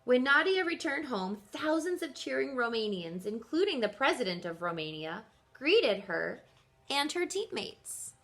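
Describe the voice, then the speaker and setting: A woman teacher reading aloud to children in an expressive way, speaking directly to her young listeners and posing questions to them. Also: sits high, a little slow.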